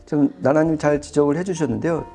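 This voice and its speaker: A man's voice: a little high, fairly even intonation, fast, mellow, faint music behind. A man reviewing audio products in conversational commentary, explaining things with casual, emphatic phrasing.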